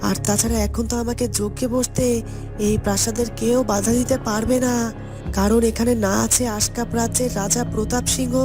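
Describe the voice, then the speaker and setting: Woman voicing a high-pitched, gloating evil cartoon sorcerer. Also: natural voice. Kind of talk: cartoon fairy-tale villain monologue.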